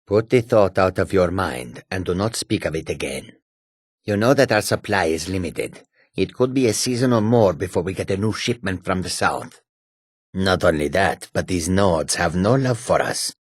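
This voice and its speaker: Male cat-folk merchant, raspy, courteous, formal, fantasy game character, close dry sound. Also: low voice, lively intonation, no room sound, heavily acted. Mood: weary, grumbling. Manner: measured, formal, archaic phrasing. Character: male cat-folk caravan trader, courteous, wary, homesick.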